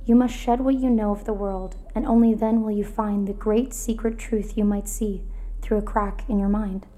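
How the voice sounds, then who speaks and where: A woman's voice: mid-range voice, steady tone, normal speed, mellow, natural voice. A woman playing a solemn, oracle-like narrator who recites cryptic prophecy in a poetic, incantatory way for an audio drama.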